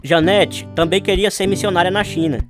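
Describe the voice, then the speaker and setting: An actor playing an earnest missionary husband with a Brazilian accent, telling his life story in a radio drama with sincere, measured delivery. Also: high voice, steady tone, music in the background, natural voice. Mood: reflective narration. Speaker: narrator and lead character. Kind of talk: dramatized radio testimony, scripted narration and dialogue.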